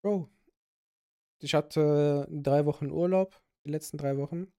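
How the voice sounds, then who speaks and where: A man's voice: on the high side, steady tone, unhurried, dark tone, clean tone. A man hosting a podcast in casual chat, joking and laughing often, with many fillers and restarts. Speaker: podcast host.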